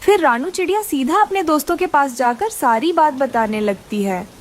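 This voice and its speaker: A woman's voice: high voice, lively intonation, moderate pace. A woman reading scripted narration for a children's cartoon story.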